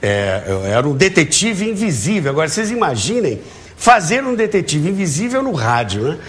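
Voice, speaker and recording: A man's voice: fairly high pitch, sing-song, normal speed. A Brazilian man talking as a talk show guest, telling anecdotes in a jovial way and acting out dialogue as he goes. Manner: jovial, acts out quoted dialogue. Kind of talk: animated anecdote telling to a host. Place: TV studio with audience. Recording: clearly noisy TV studio audio.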